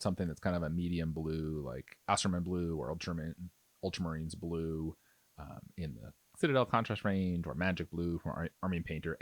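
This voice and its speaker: Low-voiced man, lively casual tutorial narration. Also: no echo. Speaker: miniature painting tutorial host. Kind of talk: casual instructional narration over demonstration.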